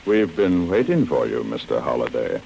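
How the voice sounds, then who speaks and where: Very deep, gravelly radio villain. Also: male voice, sing-song, heavily acted. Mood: smoothly menacing. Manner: measured, falsely polite. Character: smooth, menacing male henchman boss, politely threatening. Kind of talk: 1940s radio acting. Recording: noisy vintage radio recording.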